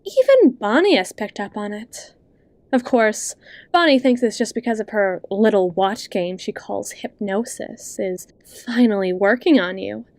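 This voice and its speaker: A woman playing a possessive, manipulative best friend and hypnotist in an ASMR roleplay, coaxing and condescending in a soft, intimate voice, speaking straight to the listener. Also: mid-range voice, expressive, no room sound. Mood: teasing, feigned concern. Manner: slow, coaxing, condescending, with lingering pauses.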